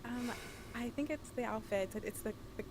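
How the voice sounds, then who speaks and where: A woman of twenty-eight, a dating show contestant, speaking softly and hesitantly, searching for words. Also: fairly high pitch, normal speed, background noise. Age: 20s. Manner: soft, hesitant, groping for words.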